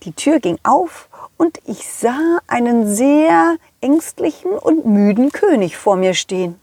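A woman narrating a children's story in an animated storyteller's style. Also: high voice, takes it slowly, husky, no room sound. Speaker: storyteller and puppeteer.

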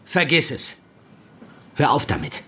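A man's voice: mid-range voice, very animated, a little slow, sharp tone, slight background noise. A man playing a sceptical, stern handler in an audio drama, curt and probing. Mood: sceptical, annoyed. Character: stern, sceptical male superior handling an undercover agent. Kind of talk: naturalistic audio drama acting.

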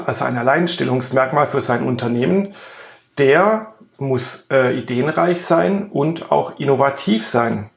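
A man's voice: mid-range voice, creaky. A Swabian man hosting a podcast interview, asking questions in a conversational way. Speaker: podcast host. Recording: dull roomy recording.

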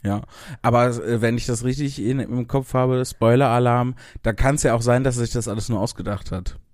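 A man's voice: mid-range voice, steady tone, fairly quick, darkish tone, dry recording, studio quality. A young man co-hosting a podcast, chatting casually with wry jokes and hesitant fillers. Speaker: podcast co-host. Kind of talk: casual chat.

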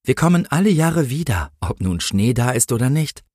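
A man's voice: medium pitch, very animated, normal speed, a little mellow, breathy edge, dry recording, studio quality, voice pushed up. A man voicing a pompous, easily indignant Christmas angel in a comic story, speaking in a solemn, preachy, formal way. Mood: solemn, patient.

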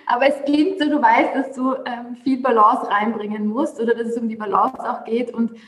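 A woman's voice: a little high, fairly even intonation, moderate pace. Enthusiastic woman host in an echoey room. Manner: warm and enthusiastic, hesitant "ähm" fillers in questions. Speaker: podcast host. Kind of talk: podcast interview. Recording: roomy echoey sound.

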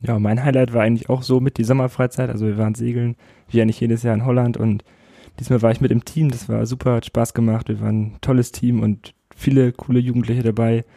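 18 yr old guy, monotone and a bit gravelly, dry close sound. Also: medium pitch, fast talker, no room sound. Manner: short plain statements. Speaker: youth volunteer, guest. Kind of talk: casual chat.

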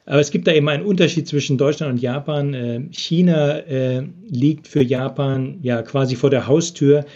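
A man's voice: mid-range voice, moderate pace, mellow, highs cut off. A man being interviewed from abroad about business, answering with many hesitant filler sounds over a remote connection. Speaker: business expert interviewed from Japan.

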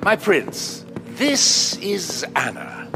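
A man's voice: on the high side, wildly swooping pitch, very slow, music in the background, heavily acted. A man playing a suave vampire master's servant in an audio drama, courtly, deferential and insinuating.

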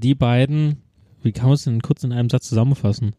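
Mellow male podcaster, dryly joking. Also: medium pitch, fairly even intonation, quick pace, no room sound. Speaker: podcast co-host. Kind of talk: casual unscripted podcast chat.

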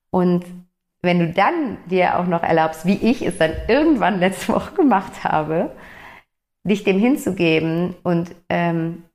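A woman talking calmly and gently to the listener in a reflective self-help monologue, her voice slightly hoarse. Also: fairly low pitch. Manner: calm, gentle, addresses the listener directly.

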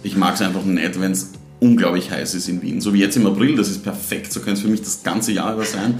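A man's voice: low voice, fairly even intonation, a little fast, a little mellow, reverberant, faint music behind. A man from Styria with a Styrian Austrian accent, chatting in an easygoing way as a podcast guest. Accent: Styrian Austrian German. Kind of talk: casual chat.

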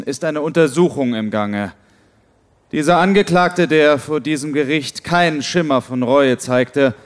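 A man playing a judge who speaks in formal, measured pronouncements. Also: a little high, close to an everyday voice.

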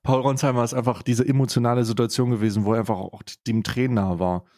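A man's voice: medium pitch, steady tone, fast, mellow, no echo. Man bantering on a dry close podcast. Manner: rambling, repeats phrases mockingly. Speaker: podcast co-host. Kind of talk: casual comedic podcast banter.

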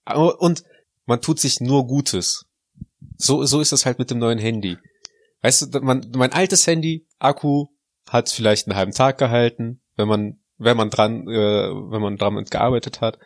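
A man's voice: mid-range voice, measured pace, no room sound. A man co-hosting a podcast, from Russia but raised in Germany, chatting in a casual, joking way. His voice sits in a middle register. The sound is dry and close, with no room around it. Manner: joking, puts on a fake Russian accent in German. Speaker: podcast co-host. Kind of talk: casual chat.

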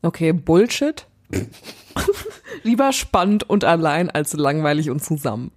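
A young woman co-hosts a podcast with a teasing, quick-to-laugh manner. Her voice sits fairly low for a woman. She keeps the talk casual and loose. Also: expressive, darkish tone. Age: young adult. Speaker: podcast co-host. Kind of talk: casual chat.

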